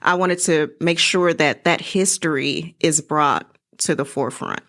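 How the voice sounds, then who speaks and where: A middle-aged woman chatting casually as an interview guest, telling her story in an animated way. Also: sits low, unhurried.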